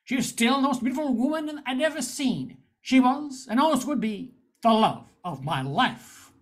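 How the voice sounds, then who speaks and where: Woman as a high-voiced hard-boiled space-noir hero. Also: expressive, moderate pace. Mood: narrating, wistful. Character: jaded male space-noir bar owner, wry hero. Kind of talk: noir narration and dialogue in radio spoof.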